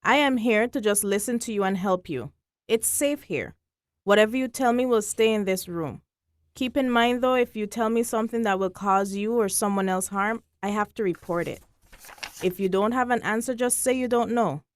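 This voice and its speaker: A woman playing a patient, reassuring child therapist in a staged drama, measured and gently probing. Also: mid-range voice, dry recording, clean studio sound, close to an everyday voice.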